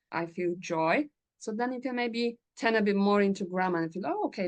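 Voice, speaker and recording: A Polish woman hosting an English-learning podcast, chatting in a lively, encouraging way and laughing often. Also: on the low side. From Poland. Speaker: English teacher and podcast host. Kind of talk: casual interview chat.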